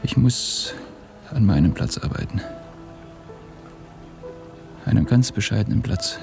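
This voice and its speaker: A man acting in a German radio drama as an ashamed, despairing Russian revolutionary, halting and confessional, often hushed. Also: lowish voice, lively intonation, creaky. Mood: anguished, hushed. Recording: studio radio play with music behind.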